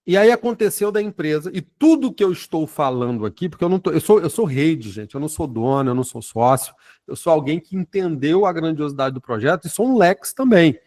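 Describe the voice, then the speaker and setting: A man's voice: fairly high pitch, lively intonation, fairly quick, darkish tone. A man, leading a sales network and talking informally, tacking "né?" and "tá?" onto his sentences and often restarting words. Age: 40s. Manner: conversational, with frequent "né?" and "tá?" tag questions. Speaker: network marketing leader.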